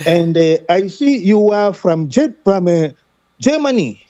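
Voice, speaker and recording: A man from DR Congo with a Congolese accent, talking football as a guest caller in halting, repetitive, emphatic English that is not his first language. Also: sits high, expressive, dark tone, no echo, muffled recording. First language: French. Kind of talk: animated football talk.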